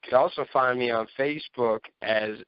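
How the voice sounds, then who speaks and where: A man with a smooth, clean voice at a middling pitch shares opinions as a podcast co-host. He rambles casually and circles back over his phrases. The sound is dry and a little muffled. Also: fairly quick, no room sound.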